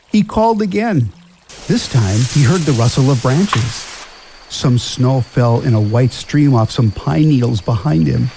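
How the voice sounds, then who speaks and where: A man's voice: a little high, gravelly, mellow, a little hiss or hum, low-quality recording. A man narrating a scripted family radio drama in a storybook style.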